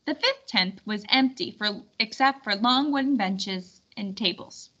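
A woman reading a children's storybook aloud in an expressive, clearly instructional way. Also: mid-range voice, measured pace, highs cut off. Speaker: storybook reader.

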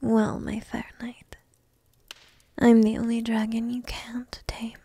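A woman playing a young dragon princess in an ASMR roleplay, coy, teasing and lovestruck, half-whispering in a soft, intimate, breathy way. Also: fairly high pitch, monotone, takes it slowly, dry recording, studio quality, heavily acted. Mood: playful teasing.

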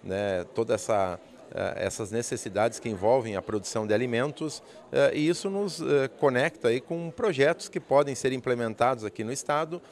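A man, giving an interview answer in a halting, hesitant way. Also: medium pitch. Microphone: handheld interview microphone.

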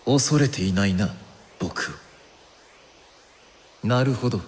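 An actor playing a cold, haughty young intellectual villain who speaks pompously and analytically in an anime game. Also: male voice, medium pitch, slow, slight background noise, lightly acted. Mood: suspicious. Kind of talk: anime game cutscene acting. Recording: long reverb, music behind.

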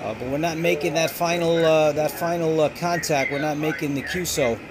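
A man giving a casual hands-on product demo as a radio hobbyist, with a halting, hesitant delivery. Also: on the high side, steady tone, background noise. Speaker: amateur radio hobbyist reviewing gear.

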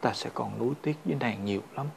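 An actor playing a gentle, earnest husband in a folk-tale period drama, speaking solemnly in formal, old-fashioned address. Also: male voice, medium pitch, quick pace, creaky. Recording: loud music over the dialogue.

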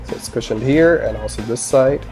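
A man's voice: mid-range voice, moderate pace. A man in his thirties giving a casual product review in a conversational way, with asides. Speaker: gear reviewer, indie filmmaker. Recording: music under the voice. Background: instrumental music with guitar.

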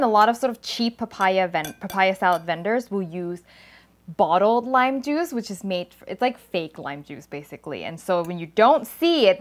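A woman in her thirties from Thailand hosts a cooking show, chatting warmly to viewers as she pounds a salad in a home kitchen. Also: mid-range voice. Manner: chatty and upbeat, talks to viewers while pounding in a mortar. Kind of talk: cooking demonstration.

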